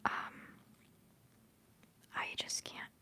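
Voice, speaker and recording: A young woman hosting a livestream, whispering softly as she rambles through chat messages and answers viewers fondly, holding back tears. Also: drawn-out words. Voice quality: soft whispering voice. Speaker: livestream host.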